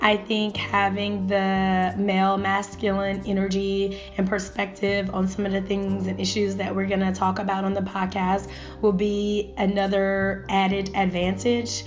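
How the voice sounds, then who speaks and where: A woman hosting a podcast who is a bit hoarse today, talking enthusiastically with some hesitant fillers. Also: medium pitch, monotone, unhurried, lo-fi sound, soft background music. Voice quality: raspy. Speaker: podcast host.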